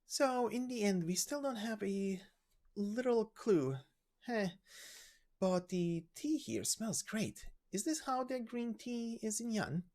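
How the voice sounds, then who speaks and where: A man's voice: sits high, animated, slow, a little mellow, pitched above the performer's own voice, full character voice. A man voicing an easygoing, flippant young companion from a game story, with a casual, breezy way of talking. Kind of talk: video game story voice acting.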